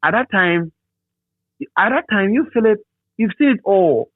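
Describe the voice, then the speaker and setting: A middle-aged man with a Ghanaian accent speaks in a slightly high voice over a narrow, phone-like line. He reminisces casually, repeating phrases to drive a point home. The sound is dry, with no room around it. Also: lively intonation, a little slow, no room sound. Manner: repeats and restates phrases for emphasis. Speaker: interviewee, radio DJ.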